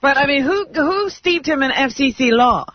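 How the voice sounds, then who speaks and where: A woman's voice: sits high, lively intonation, normal speed, dry recording. A woman co-hosting talk radio, chiming in with conversational banter and short comments. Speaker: radio co-host. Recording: slightly dull radio broadcast sound.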